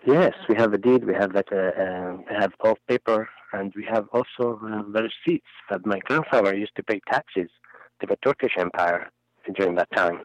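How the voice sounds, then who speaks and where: Deep-voiced Palestinian man on a phone line. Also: dry recording. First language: Arabic. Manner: earnest, halting, non-native grammar. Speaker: interview guest. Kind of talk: phone interview. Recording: muffled phone recording.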